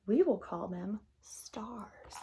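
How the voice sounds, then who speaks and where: A woman voicing a kindly, nurturing sun in a storybook, speaking gently and with measured care to a child. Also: medium pitch, animated. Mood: reassuring.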